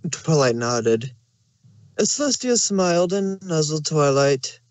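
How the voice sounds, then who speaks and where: A man's voice: fairly high pitch, very animated, takes it slowly, a little mellow, dry recording. A man narrating a scripted story as its narrator.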